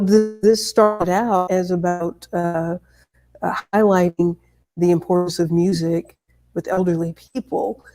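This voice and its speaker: A woman with a fairly low, mellow voice hosts a conversational interview. She puts her questions in halting, thoughtful phrases. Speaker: interview host.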